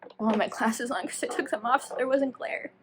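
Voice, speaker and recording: A woman with a fairly high voice talks in a casual, chatty vlog style. She rambles and pokes fun at herself, drifting into tangents. A faint layer of room noise sits behind her. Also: steady tone, fairly quick. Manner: rambling, self-deprecating, tangential. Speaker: book reviewer vlogger. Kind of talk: casual chatty video talk.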